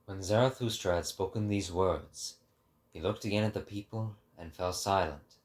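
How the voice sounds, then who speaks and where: A man giving a dramatic scripted narration in a low, subdued register, measured and solemn. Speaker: voice actor, narrator.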